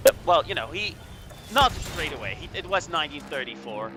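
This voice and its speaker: A Belgian man giving casual, halting history commentary over a video game, explaining things hesitantly. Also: a little high, very animated, noisy background. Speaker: guest commentator.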